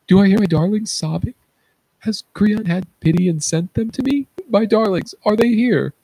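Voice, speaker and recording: Guy doing a blind ruined king from greek tragedy, husky creaky voice, anguished tender pleading. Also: sits high, moderate pace, dark tone, no echo, voice pushed up, heavily acted, rough recording. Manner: theatrical, grief-laden declaiming. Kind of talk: read-aloud Greek tragedy.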